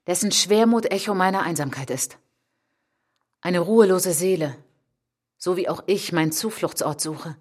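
Eine Schauspielerin spielt in einem literarischen Hörspielmonolog eine stolze, verletzte junge Französin, die nachdenklich, eindringlich und bedacht von sich erzählt. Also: female voice, fairly low pitch, darkish tone, lightly acted. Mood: intense, lyrical. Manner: intense, deliberate, sometimes dropping to a whisper. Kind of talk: literary radio drama monologue.

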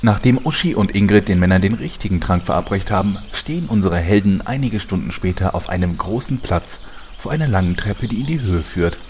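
A man's voice: fairly low pitch, animated, brisk, darkish tone, a little hiss or hum, natural voice. A man as the narrator, reading scripted storytelling for a parody fantasy audio drama. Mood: measured storytelling. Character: storytelling male narrator of heroes' adventures.

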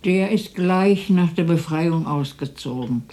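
A woman's voice: on the low side, a little slow, mellow, soft background music, natural voice. An actress playing a lonely, motherly elderly neighbour in radio drama dialogue, with a fussing, hospitable manner. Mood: subdued, reminiscing. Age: older.

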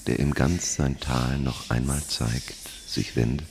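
A man acting a grave, brooding reciter of melancholy verse, halting and solemn, in a radio play. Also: a bass voice, steady tone, heavily acted. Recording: clearly noisy ambient background.

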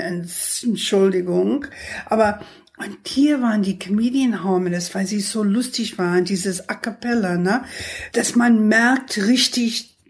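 Slow-talking older American-born woman, native English speaker. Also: mid-range voice. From United States. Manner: searching for words, frequent tag questions. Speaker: guest, author. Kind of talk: casual conversational interview.

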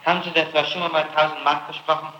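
Male prosecutor, sharp forceful voice, pressing and confrontational interrogation, echoey archival tape. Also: a little high, little pitch movement, fast, lo-fi sound, a little hiss or hum. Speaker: prosecutor questioning a suspect.

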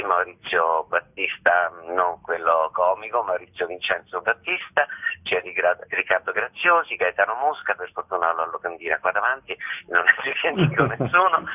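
A man with a low, rough-edged voice speaks informally and emphatically as a radio guest. He rambles a little as he goes. The sound is a thin, muffled telephone connection. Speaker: guest theatre director presenting a play. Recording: muffled narrow phone line.

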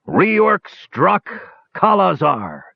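Proud, commanding fantasy dwarf for storybook, declamatory, raised pitch, rough-edged, voiced by a male reader. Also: wildly swooping pitch, very slow, no echo, lo-fi sound, full character voice. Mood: thundering command.

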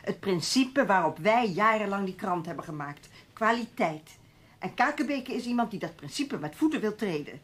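Dutch radio drama: high-minded, indignant newspaperwoman, husky, emphatic, firing off challenging questions. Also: expressive, normal speed, fairly bright tone. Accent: Dutch, Netherlands. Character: indignant, high-principled woman newspaper journalist. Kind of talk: Dutch radio play acting.

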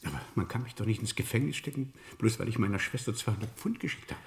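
A man's voice: lowish voice, expressive, fast, creaky. An actor playing an anxious, polite accused man in a German crime radio play, hesitant and searching for words. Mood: indignant. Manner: hesitant, searching, repeating himself.

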